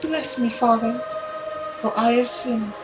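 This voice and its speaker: Man putting on a soft, high, dazed young woman. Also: distant mic, music in the background. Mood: dazed, meek. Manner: soft, halting.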